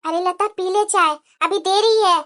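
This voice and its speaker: Woman voicing a gossipy, high-voiced cartoon auntie. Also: fairly even intonation, a little slow, a bit bright. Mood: commiserating. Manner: commiserating, gossipy. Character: resigned older aunt, gossiping sympathetically. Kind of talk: Hindi family cartoon dialogue.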